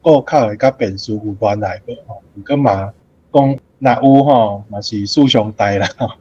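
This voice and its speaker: A man's voice: lowish voice, normal speed, a little mellow, husky, no room sound. A man with a Taiwanese accent, casually and politely putting questions to a guest in a hesitant way. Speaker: questioner in a book discussion. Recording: dry, slightly dull recording.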